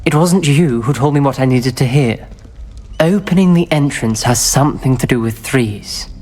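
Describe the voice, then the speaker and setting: An actor playing a serious, wary teenage wizard boy, with a British accent and formal, measured, articulate speech in a dramatic video game. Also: mid-range voice, a little mellow, lightly acted. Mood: resigned, measured. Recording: clear background ambience noise.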